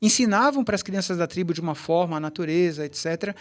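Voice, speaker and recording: A man's voice: high-pitched, fast talker. A middle-aged man, a tai chi teacher, telling long rambling stories in a casual interview, with many restarts and asides. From Brazil, Bahia.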